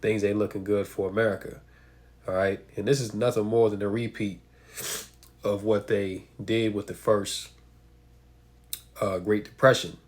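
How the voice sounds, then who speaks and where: A man's voice: on the low side, flat delivery, normal speed, long pauses, darkish tone. A man with a stuffy nose from a cold, giving emphatic preaching commentary and asking rhetorical questions. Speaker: religious commentator.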